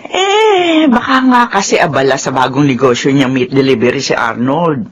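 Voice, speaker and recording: Older mother-in-law, chatty, lively swinging voice, faint background hiss. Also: very deep voice, moderate pace. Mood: chatty, hopeful. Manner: chatty, hesitant fillers. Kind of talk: radio drama acting.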